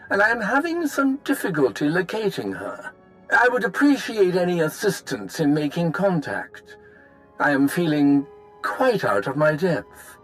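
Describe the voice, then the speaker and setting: An actor playing an eager, fretful, well-mannered scholar in a video game, speaking in wordy, bookish phrases. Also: male voice, high-pitched, fairly bright tone, full character voice, rough recording. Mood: flustered, hopeful. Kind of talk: video game cutscene acting.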